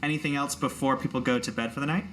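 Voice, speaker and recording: A man's voice: mid-range voice, steady tone, very fast, a bit bright, slight background noise. A man working as game master, improvising narration for a tabletop game in a casual, conversational way.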